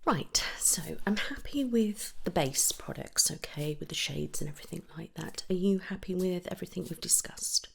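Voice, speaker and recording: Whispering woman, low and creaky. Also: moderate pace, hardly any pauses. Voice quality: breathy whisper mixed with soft low speech. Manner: gentle, reassuring, attentive. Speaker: ASMR performer playing a make-up artist. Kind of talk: ASMR roleplay, soft-spoken consultation.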